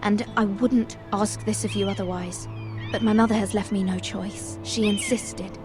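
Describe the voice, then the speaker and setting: A woman's voice: medium pitch, steady tone, normal speed, close to an everyday voice. An actress playing an earnest, anxious young lady-in-waiting in a video game, pleading in formal, deferential speech. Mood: apologetic. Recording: faint music behind the voice.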